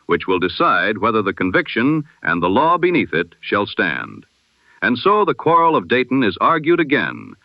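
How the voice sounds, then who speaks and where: A man reading the day's news as a formal, measured 1920s radio bulletin. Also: on the low side, no room sound. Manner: formal, measured, rhetorical delivery. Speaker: newsreader. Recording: dull lo-fi radio-style sound.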